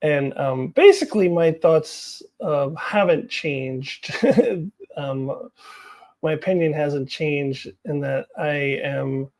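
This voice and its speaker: A woman's voice: low voice, takes it slowly, a little mellow. A woman vlogger chatting casually to viewers about her opinions, candid and self-deprecating, often laughing as she talks. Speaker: vlogger giving opinions on TV news.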